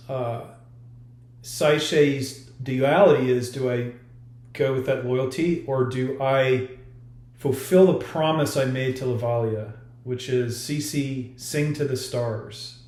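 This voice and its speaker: A man speaking as an author in a podcast discussion, explaining haltingly with pauses to choose his words. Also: mid-range voice, darkish tone. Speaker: author discussing own story. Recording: roomy, echoey sound.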